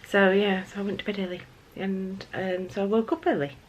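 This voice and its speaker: A middle-aged woman with a croaky, just-woken voice, chatting casually to her camera at home in the morning, rambling with lots of fillers. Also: medium pitch, fairly even intonation, a little fast, dull audio, slight background noise. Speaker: vlogger. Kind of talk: casual morning vlog chat. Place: family home kitchen.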